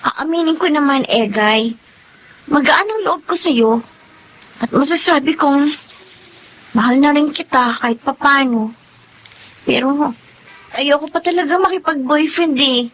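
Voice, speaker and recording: An actress with a Filipino accent playing a meek, overworked young housemaid in a radio drama. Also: female voice, high voice, measured pace, long pauses, a bit bright, a little hiss or hum, poor audio. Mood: reluctant, tender.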